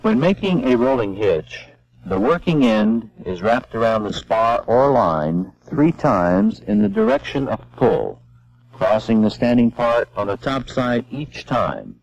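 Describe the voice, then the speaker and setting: A man giving measured, step-by-step scripted narration for an instructional film. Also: medium pitch, sing-song, vocal fry. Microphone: voice-over. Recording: dull lo-fi old film soundtrack.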